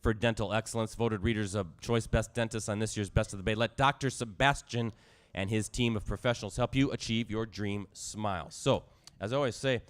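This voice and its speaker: A man hosting a live sports talk show in a bar, hoarse and squeaky tonight, hyping the crowd with energy. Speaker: show host.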